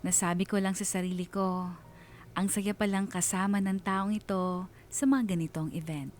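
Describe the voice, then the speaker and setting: A woman's voice: mid-range voice, fairly even intonation, moderate pace, a little mellow, slight background noise, close to an everyday voice. A woman playing a sentimental female letter sender who narrates her romance in a reflective way, with a Filipino accent. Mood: smitten, wistful. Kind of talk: dramatic first-person radio storytelling.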